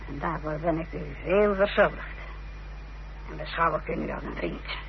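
Radio drama: bitter middle-aged woman, coldly formal, deliberate, creaky voice, muffled humming tape. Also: sits low, slight background noise, full character voice. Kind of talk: radio play acting. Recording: dull, humming old radio drama recording.

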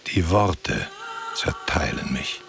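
Radio play: ancient gatekeeper of a book. Cryptic, solemn, deep-voiced, unhurried. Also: male voice, very animated, vocal fry, noisy background, heavily acted. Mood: grave, cryptic. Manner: slow, cryptic, solemn answers. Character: ancient wandering guardian of a book, cryptic and grave. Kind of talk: poetic radio drama dialogue.

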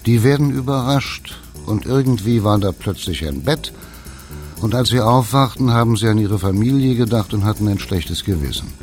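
A man's voice: lowish voice, a little mellow. A man telling candid stories in a blunt, colloquial, conversational way. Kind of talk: candid conversational storytelling about expat life.

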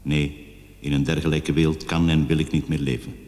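A vengeful killer speaking his last letter in a radio drama. His voice sits extremely low and hangs in a long, echoing reverb. He preaches his self-justification with bitter, grandiose conviction. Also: little pitch movement, normal speed, a little mellow. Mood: righteous, grim.

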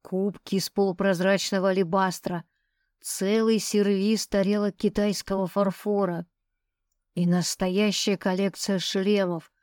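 A woman's voice: medium pitch, flat delivery, unhurried, darkish tone, no room sound. Woman narrating fiction, very dry close sound. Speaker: audiobook narrator. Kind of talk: scripted first-person fiction narration.

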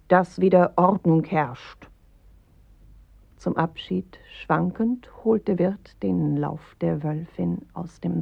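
A woman narrating a dark, grim tale in a radio play, with measured, terse phrasing and a husky, breathy voice. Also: lowish voice, no echo, exaggerated voice. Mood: hushed, ominous. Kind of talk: literary radio play narration, slow and deliberate. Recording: dry, slightly dull studio radio recording.

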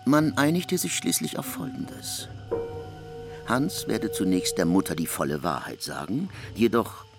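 A man with a low, gravelly voice narrates a literary radio play. His intonation is varied and animated, with faint music underneath. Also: a little slow.

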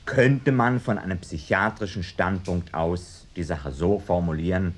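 An academic expert in a radio drama. He has a low voice and picks his words slowly and pedantically, hedging like a cautious scholar. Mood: clinical. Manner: hesitant, deliberate, academic hedging. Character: pedantic male university professor, parapsychology expert witness. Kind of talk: mock documentary radio drama, expert statement.

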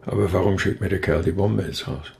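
An actor playing an injured, grieving male professor in a radio crime drama, talking haltingly but insistently in a weakened voice. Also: sits low, steady tone, creaky, darkish tone. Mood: weary, halting. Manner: halting, hesitant, yet insistent. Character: injured male brain research professor, bereaved, weary, stubbornly convinced.

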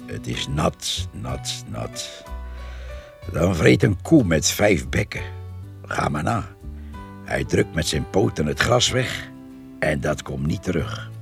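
An actor playing a blunt, grumbling countryman with a gravelly voice in a radio drama. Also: a bass voice, very animated, slow pace, pauses often, soft background music, exaggerated voice.

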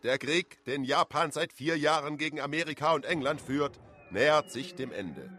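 A male narrator delivers scripted documentary text for a radio play. His pitch is a little high and moves a lot, over clear music. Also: animated, normal speed.

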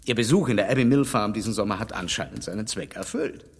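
An actor playing an outspoken gentleman in a radio play, talking frankly and with reproach. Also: fairly low pitch, fast talker, slightly rough, big-room sound. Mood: confiding. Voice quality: frank, lively, reproving manner. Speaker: Mister Knightley.

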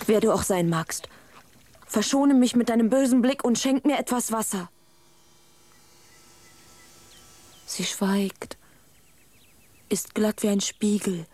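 An actress playing an earnest, tender-hearted young woman in a radio play, expressive and talking half to herself. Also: mid-range voice, measured pace, natural voice. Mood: anguished, hushed.

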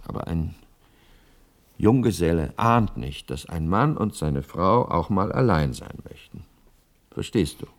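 A nervous, devoted widower in a play. His voice sits on the low side, and he speaks with solemn, hesitant insistence, touchy and full of grief. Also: animated, unhurried. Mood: wistful, defensive. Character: anxious grieving widower, solemn, touchy, devoted to dead wife. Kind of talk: radio play dialogue.

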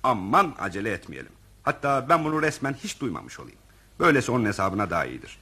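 An actor in a radio play voicing a callous, self-serving office director who talks in an officious, evasive, digressive way. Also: male voice, on the low side, sing-song, quick pace, pushed and bright, husky, heavily acted. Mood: evasive, dismissive. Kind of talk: radio theatre acting.

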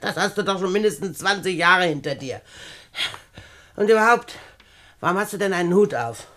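An actress playing a despotic, sharp-tongued older aunt in a radio play, scolding and mocking as she talks. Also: lowish voice, animated, normal speed. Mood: impatient, scolding. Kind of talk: German radio play acting.

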